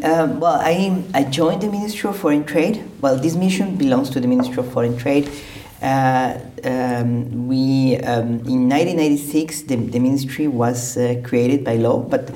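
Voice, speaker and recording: A man's voice: medium pitch, normal speed, warm tone. A middle-aged man from Costa Rica, a trade diplomat answering interview questions with many fillers and restarts. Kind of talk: conversational interview.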